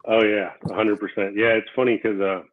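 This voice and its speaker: A man hosting a casual interview show, talking in a relaxed, rambling way with many fillers; Korean was his first language. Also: lowish voice, fairly quick, a little hiss or hum. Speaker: podcast host. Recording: tinny phone-like line.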